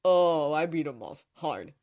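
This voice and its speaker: A woman's voice: low-pitched, expressive, a little slow, darkish tone. Woman acting a flustered, boastful devil dad. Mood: cocky. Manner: flustered, repetitive, defensive bravado. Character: sheepish, boastful devil king, a father.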